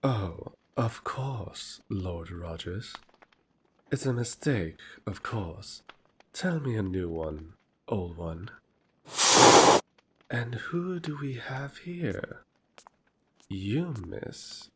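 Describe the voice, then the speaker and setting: A man's voice: on the low side, big pitch swings, extremely slow, pauses often, a little mellow, slight background noise, exaggerated voice. A man playing a smug, scheming aristocrat in a fantasy audio drama, pompous and mockingly courteous. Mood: smug, silky. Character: smug, scheming aristocratic male rival lord, honey-tongued.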